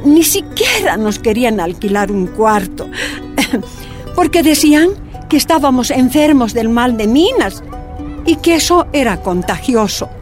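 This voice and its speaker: An ailing older woman, a miners' leader in a radio drama. Her voice is high and swings widely in melody, with slow, deliberate phrasing. She sounds warm, plaintive and resolute. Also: sing-song, faint music behind. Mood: sorrowful.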